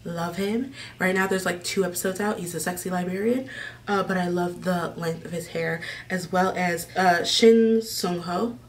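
Young adult American woman, casual vlog host, lower register, effusive rambling delivery, slightly distant mic. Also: moderate pace, slight background noise. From Maryland, United States. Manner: gushing, rambling. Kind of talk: casual chat to camera. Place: small decorated room indoors.

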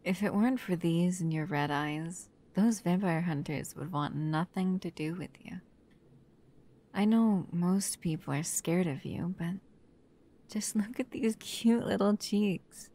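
A woman acting a protective, doting human caretaker of a vampire in an intimate, soft-spoken ASMR roleplay, often dropping toward a whisper. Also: lowish voice, a little slow. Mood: fond, reassuring. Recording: clear background noise and ambience.

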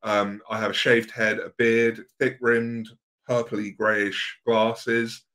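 A man's voice: on the low side, flat delivery, takes it slowly, a bit bright. Podcast host man in his thirties. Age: 30s. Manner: friendly, lightly hesitant with ums and uhs. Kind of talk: casual podcast interview.

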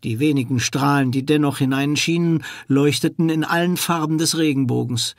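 A man's voice: fairly high pitch, moderate pace, no room sound, clean studio sound. A man narrating a suspenseful children's audio drama with dramatic scripted storytelling. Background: music.

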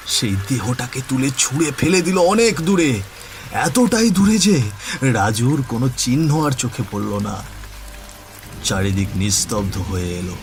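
A man's voice: medium pitch, a little hiss or hum. A man narrating a scripted horror story in a dramatic storytelling style.

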